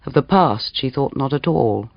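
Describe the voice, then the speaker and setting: A man narrates literary fiction as an audiobook reader, measured and expressive in his telling. His voice sits at a middling pitch, recorded very dry with a slightly muffled top end. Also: creaky, no echo. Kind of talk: dramatic literary story narration.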